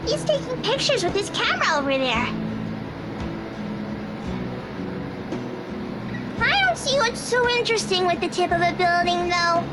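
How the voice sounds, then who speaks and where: A woman's voice: very high-pitched, big pitch swings, fairly quick, rough recording. A woman voicing an innocent, curious, earnest little girl in a visual novel, plain-spoken and childlike, with music behind her. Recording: loud music behind the dialogue.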